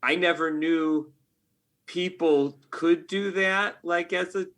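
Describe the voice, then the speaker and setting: A man's voice: on the high side, fairly even intonation, slow, a bit bright. A man talking casually as an interview guest, telling stories in a jokey, self-deprecating way. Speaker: podcast guest, game writer.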